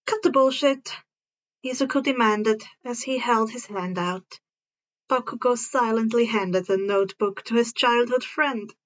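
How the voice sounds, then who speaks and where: A woman reading fan fiction aloud as an expressive story narrator. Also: a little high, a little slow, lo-fi sound.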